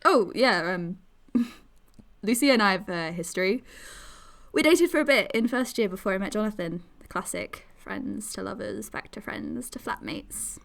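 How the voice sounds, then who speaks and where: A young woman, a loyal and chatty friend in an audio drama. Her voice sits at an average pitch for a woman, and she talks informally and reassuringly, in natural conversational phrasing. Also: lively intonation, normal speed, gravelly, a bit bright. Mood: casual, sympathetic.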